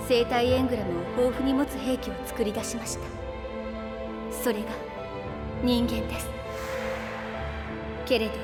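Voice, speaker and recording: A woman's voice: fairly high pitch, natural voice. An actress playing an earnest, courteous young noblewoman in an anime game, with formal, ladylike phrasing. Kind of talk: Japanese anime game voice acting. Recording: long reverb, clear music behind.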